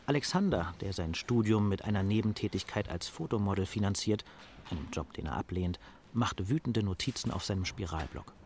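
A sober narrator with a fairly low voice. He sets scenes in a detached, scripted radio-drama style over faint ambience. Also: animated, a little fast, darkish tone, slight background noise.

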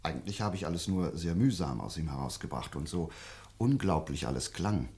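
A man's voice: extremely low pitch, animated, fairly quick, a little mellow. An actor playing an earnest doctor in a radio play, insistent but often searching for his words. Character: earnest, insistent male doctor investigating a mystery. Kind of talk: German radio play acting.

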